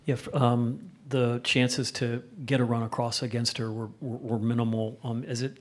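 A man's voice: medium pitch, flat delivery, moderate pace. A man, a reporter asking a rambling question at a press conference with restarts.

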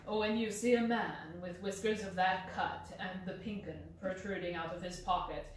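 A woman voicing a calm, clever male detective in a lower, bland and measured tone, recorded from a distance in a roomy space. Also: lightly acted. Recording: roomy, distant mic, faint hiss.

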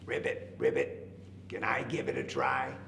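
Man doing a croaky, slow little frog. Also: mid-range voice, very animated, fairly bright tone, full character voice. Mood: eager. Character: small plucky green warty frog, sly and confident. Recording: echoey room, faint background noise.

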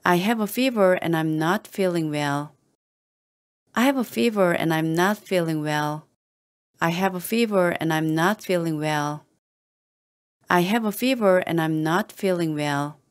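A woman reading short patient sentences slowly and clearly, repeating each one the same way for learners to copy. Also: lowish voice. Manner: calm, even and carefully spoken, each sentence said the same way again and again. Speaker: English teacher reading model sentences. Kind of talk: slow, deliberate repeated phrases for learners to copy.

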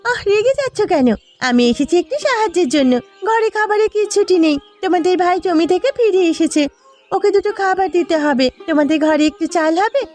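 Woman acting a high-voiced, earnest village wife. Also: expressive, fairly quick, darkish tone, soft background music. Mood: pleading. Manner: pleading, solicitous. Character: caring, earnest poor farmer's young wife. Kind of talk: Bengali cartoon voice acting.

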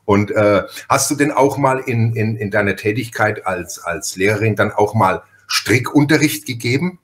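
Middle-aged guy hosting a podcast, lowish voice, chatty, tells little stories. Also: moderate pace, a bit bright. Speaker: podcast host. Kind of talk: casual chat.

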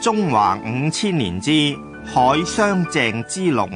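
A man's voice: fairly high pitch, sing-song, slow pace, loud music behind the voice. A man with a Hong Kong Cantonese accent narrating a scripted history radio drama.

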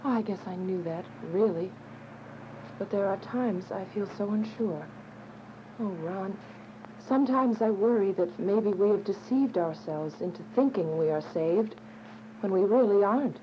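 A woman's voice: medium pitch, measured pace, a little hiss or hum, lightly acted. A woman playing an earnest, devout, anxious woman who narrates and speaks in a radio drama. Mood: worried. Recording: muffled radio drama with music behind.

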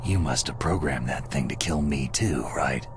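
An actor playing a gruff, stoic special forces soldier in a video game, with a gravelly voice. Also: male voice, a bass voice, moderate pace, noisy background. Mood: resigned, urgent. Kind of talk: dramatic video game voice acting. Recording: music under the voice.

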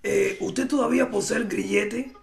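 Young woman playing officious immigration official, deadpan, bossy, heavily creaky low voice, prank call, echoey noisy room. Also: normal speed. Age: young adult. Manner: deadpan, officious, spelling things out. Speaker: prank caller. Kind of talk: live prank phone call. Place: small indoor room at a table. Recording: echoey room, clear noise, clicks.

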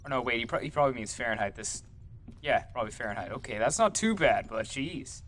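A man's voice: medium pitch, animated, fast, fairly bright tone. A young man doing goofy, rambling let's-play commentary at home, joking while he plays. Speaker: gaming streamer.

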